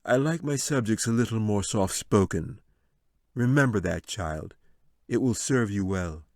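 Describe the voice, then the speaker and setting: A man voicing a menacing villainous wizard for an audiobook, soft-spoken and condescending, with mock courtesy and concern. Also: lowish voice, lively intonation, a little slow, no room sound, clean studio sound. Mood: smug warning.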